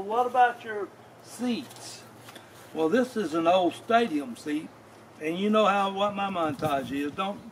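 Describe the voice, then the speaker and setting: A man's voice: sits high, slight background noise. An older man giving a rambling, folksy demo in a yard, correcting himself as he goes. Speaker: vlog host. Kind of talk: casual outdoor demo. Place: outdoors on a grassy yard.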